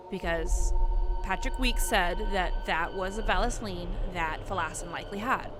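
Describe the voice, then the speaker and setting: A woman giving casual, chatty game commentary, thinking aloud in an informal way as the host of her video. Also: medium pitch. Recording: loud music behind voice.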